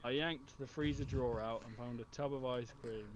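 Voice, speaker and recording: A man's voice: mid-range voice, fairly even intonation, moderate pace, lo-fi sound. A man reading a humorous written review aloud as a storyteller. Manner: often breaking into laughter. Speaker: host. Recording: very noisy mic with clicks.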